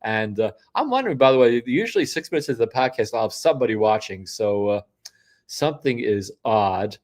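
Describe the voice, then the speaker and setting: A man's voice: medium pitch, animated, fairly quick, a little mellow. An older man hosting a solo livestream, rambling through casual commentary with frequent restarts and asides, sniffly from a cold. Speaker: host.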